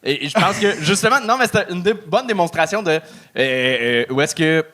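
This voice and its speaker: A man with a Quebec French accent giving a comedy act a halting, hesitant critique full of fillers. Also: a little high, animated, pushed and bright. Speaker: comedy show judge. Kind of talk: casual chat.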